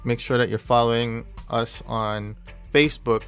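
A man hosting a podcast, upbeat and promotional, giving listener shout-outs. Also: medium pitch, flat delivery, normal speed, clean tone, soft background music. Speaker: podcast host. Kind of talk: casual podcast talk.

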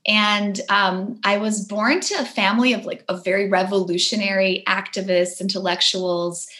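A young adult woman from Poland, a herbalist and green witch, talking reflectively as an interview guest, soft-spoken and often restarting her phrases. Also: medium pitch, steady tone, moderate pace.

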